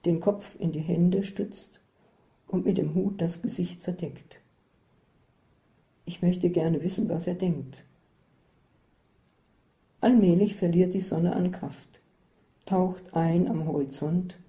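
A woman narrating a first-person story in a measured, descriptive way. Her voice is fairly low and very mellow and dark. She reads the text aloud with care.

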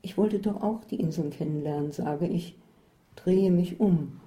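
Woman reading a story out loud, low mellow voice, measured pace. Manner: measured, descriptive. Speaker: narrator. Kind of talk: first-person story reading.

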